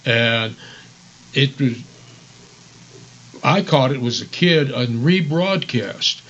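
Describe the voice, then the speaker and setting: Co-host, American male, average pitch, casual conversational commentary, somewhat muffled lo-fi recording. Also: animated, takes it slowly, a bit bright. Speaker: radio show co-host.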